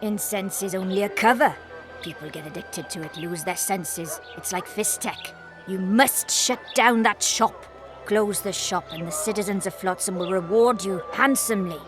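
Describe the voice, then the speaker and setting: A woman's voice: medium pitch, normal speed, natural voice. Actress as an earnest townswoman over music. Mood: indignant, urging. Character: earnest, indignant female townsfolk. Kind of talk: video game voice acting. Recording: game music behind.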